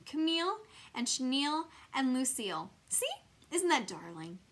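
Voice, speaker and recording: Woman playing a prim, high-voiced snooty little girl. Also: very animated, normal speed, fairly bright tone, full character voice. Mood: smugly dismissive. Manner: precious, self-satisfied phrasing. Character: prim, snooty little first-grade girl, smugly dismissive. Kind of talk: children's storybook character voice.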